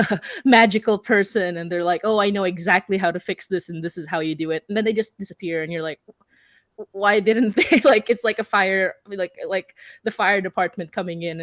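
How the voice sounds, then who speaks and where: A woman's voice: on the low side, no room sound, studio quality. A young woman speaking casually as a panelist, explaining things with little acted-out asides. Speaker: podcast creator and panelist.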